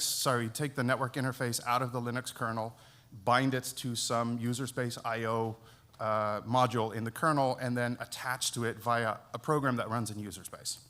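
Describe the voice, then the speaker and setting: Man, Dutch, American-sounding accent, mid pitch, relaxed self-deprecating delivery, technical conference talk. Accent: American-sounding English. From Netherlands. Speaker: conference speaker. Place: conference hall.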